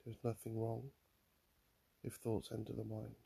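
A man guiding a mindfulness meditation in a calm, soothing manner, leaving long pauses between phrases. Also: fairly low pitch, creaky, slightly rough. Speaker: mindfulness guide. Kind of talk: guided meditation, body scan.

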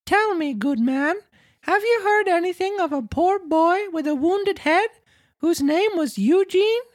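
A woman's voice: animated, measured pace, no room sound, studio quality. A woman voicing a dramatic young wooden boy puppet in a storybook reading. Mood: earnest, anxious. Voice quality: squeaky. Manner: exclamatory, theatrical storybook delivery.

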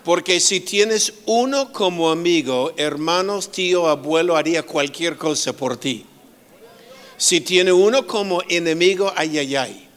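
A middle-aged man preaching as a guest speaker, slow and full of pauses and restarts, with humorous asides. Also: a little high, a bit bright. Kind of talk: sermon.

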